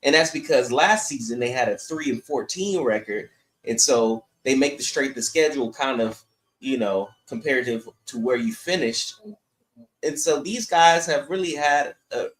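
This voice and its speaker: A man's voice: medium pitch, moderate pace. A man giving casual sports commentary as a podcast host, blunt and opinionated. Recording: echoey room sound.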